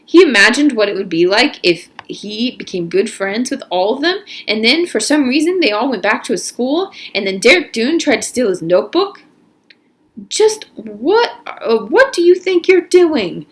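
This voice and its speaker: Animated woman reading a story aloud. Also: fairly high pitch, moderate pace, few pauses. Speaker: read-aloud narrator. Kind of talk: storybook read-aloud narration.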